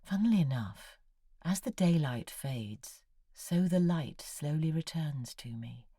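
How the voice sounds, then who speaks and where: Anxious, low-voiced, husky woman novelist. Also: expressive, a little slow, dark tone, no echo, clean studio sound, full character voice. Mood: melancholy, confiding. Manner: articulate, confiding, dramatic. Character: anxious, needy, brooding woman novelist writing emails. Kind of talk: audio drama monologue of read letters.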